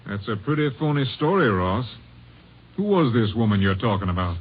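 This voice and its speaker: An actor playing a brisk, sceptical Texas Ranger captain in old-time radio drama, on a noisy vintage recording. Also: male voice, lowish voice, very animated, husky, narrow, tinny audio. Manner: brisk, challenging.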